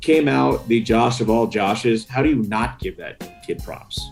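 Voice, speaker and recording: Chatty male podcast guest over clear music. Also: medium pitch, unhurried. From New York, United States. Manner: animated storytelling, acting out quoted dialogue. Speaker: podcast guest, sports radio announcer. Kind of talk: casual chat.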